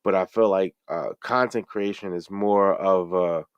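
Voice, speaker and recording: A middle-aged man, a fellow streamer, answering interview questions in a friendly, reflective, halting way. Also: deep voice, steady tone, clean tone, no echo, lo-fi sound. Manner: rambling, halting, many restarts and fillers.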